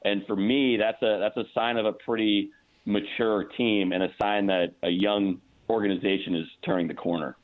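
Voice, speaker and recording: A man with a lowish voice speaks over a thin, band-limited phone connection. He sounds like a sports broadcaster guesting on a show, giving conversational hockey analysis. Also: steady tone, moderate pace. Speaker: sports play-by-play announcer, guest. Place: remote call into a radio show. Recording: tinny, narrow-band phone line.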